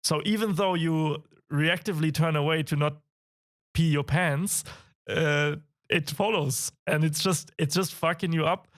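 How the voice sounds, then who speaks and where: A young German man with a raspy, hoarse voice, co-hosting a casual games podcast, laughing often and getting excited. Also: a little high. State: hoarse from a cold. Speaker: podcast co-host. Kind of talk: casual chat.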